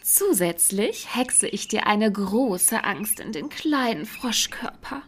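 A woman's voice: mid-range voice, lively intonation, slow pace, slight background noise. A woman playing a sly, self-assured young forest witch in a fairy-tale audio play, with a teasing, mocking manner. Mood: gleefully spiteful.

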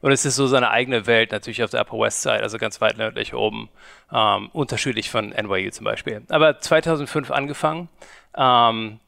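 A man in his forties from Germany, a company co-founder giving an interview, who talks haltingly with many fillers and restarts. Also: medium pitch, creaky, bright, forceful tone, no echo, studio quality. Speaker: guest, media company co-founder. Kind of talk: conversational interview.

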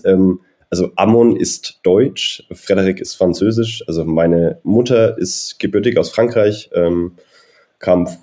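A man in his thirties with a very low, mellow voice. He talks in a friendly, rambling way and leaves lots of fillers and pauses. He is a golf coach being interviewed about his career. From Germany (Nuremberg). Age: 30s. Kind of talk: interview answers, personal background.

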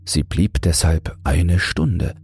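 A man narrating a fairy tale as a bedtime audiobook, in a calm, measured storytelling manner. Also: low-pitched, creaky, husky, faint music behind. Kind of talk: scripted fairy-tale narration for falling asleep.

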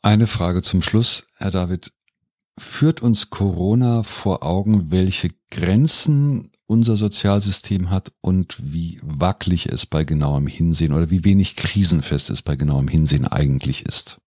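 A man moderates a podcast interview with a low, slightly rough voice. His delivery is colloquial and wry, and the recording is dry with little room sound. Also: a little slow, a little mellow, no echo. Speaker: podcast host.

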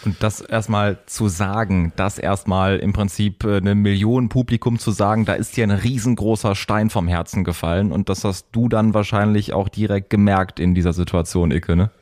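A man's voice: lowish voice, steady tone, normal speed, few pauses, darkish tone, no echo, clean studio sound. A man hosting a conversational podcast, speaking emphatically in long, flowing sentences. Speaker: podcast host. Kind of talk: conversational interviewing.

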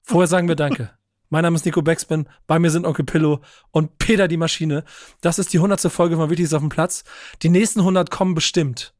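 High-voiced energetic podcast host. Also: male voice, a little fast, no echo, clean studio sound. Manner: energetic, swearing, joking. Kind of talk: casual chat.

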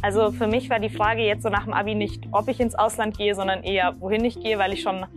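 A woman's voice: mid-range voice, little pitch movement, fast talker, faint music behind. A young woman giving an enthusiastic video statement in long run-on sentences. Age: young adult.

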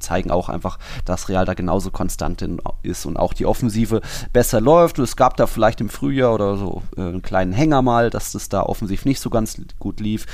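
Fast-talking man with a lowish voice. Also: darkish tone, no room sound. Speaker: football podcast co-host. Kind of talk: casual sports podcast discussion.

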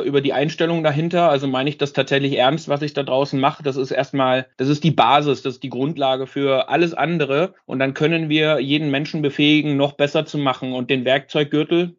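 A man in his thirties explaining his work as a sales trainer in an earnest, conversational interview style. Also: on the high side. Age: 30s. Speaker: sales trainer, company founder. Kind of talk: conversational interview talk about own work. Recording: dull lo-fi sound.

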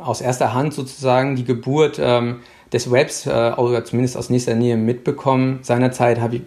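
A middle-aged man from Hamburg, a guest speaking over a phone line, answering in a halting way with many fillers. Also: medium pitch, mellow. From Hamburg, Germany. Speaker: guest, policy official. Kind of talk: interview answers.

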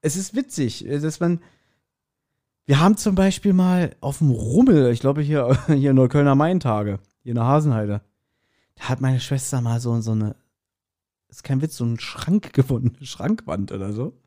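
A man's voice: medium pitch, animated, moderate pace, mellow. A man co-hosting a podcast in casual chat, with dry, self-deprecating banter. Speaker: podcast co-host.

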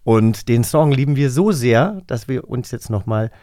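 A man co-hosting a podcast, telling stories in an animated, self-mocking way into a studio microphone. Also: mid-range voice, normal speed. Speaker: podcast co-host. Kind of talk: casual chat and storytelling.

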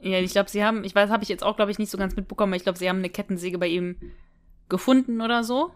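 A woman's voice: medium pitch, fairly even intonation, racing. A woman co-hosting a podcast in a casual chat, recapping a story and speculating aloud with frequent tag questions. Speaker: podcast co-host. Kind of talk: casual chat recapping and discussing an audio drama.

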